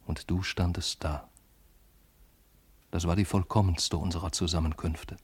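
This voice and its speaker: An actor playing a lovesick, brooding man of letters in a literary radio monologue, speaking lyrically and intimately in measured phrases. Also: low-pitched, darkish tone.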